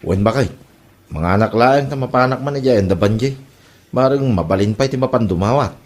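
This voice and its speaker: A man's voice: medium pitch, fairly quick, a little mellow, natural voice. A man with an Ilocano accent playing an industrious, good-natured village farmer in a comedy drama. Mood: agreeable. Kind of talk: comedy radio-style drama acting.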